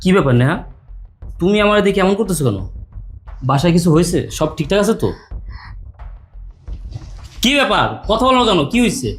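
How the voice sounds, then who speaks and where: A man's voice: high voice, expressive, fairly quick, long pauses, soft background music. A man playing a devoted son back from abroad, who talks in an insistent, pleading way. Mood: puzzled.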